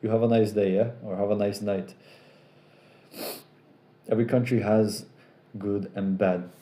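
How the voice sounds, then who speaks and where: A man's voice: lowish voice, a little slow, reverberant. A young man with an American accent hosting a casual live chat and rambling through viewer questions. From Poland. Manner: relaxed, rambling, repeats himself. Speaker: live stream host.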